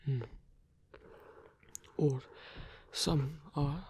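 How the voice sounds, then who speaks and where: A man voicing a lonely, gentle old vampire in an ASMR roleplay, with a husky, breathy whisper and halting, formal, musing speech. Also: fairly high pitch. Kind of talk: ASMR roleplay audio drama.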